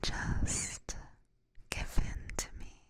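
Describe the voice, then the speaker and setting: A woman voicing a seductive, teasing vampire catgirl in an ASMR roleplay, whispering breathily and coaxingly right up close to a binaural microphone. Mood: seductive, soothing. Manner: slow, coaxing, playfully menacing. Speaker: streamer playing a character for live chat.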